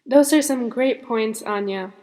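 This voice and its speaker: A teenage girl co-hosting a youth podcast, reading her part in a measured, explanatory way. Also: fairly high pitch, a little mellow. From born in India, raised in the United States. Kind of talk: read-aloud podcast script. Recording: long reverb on the voice.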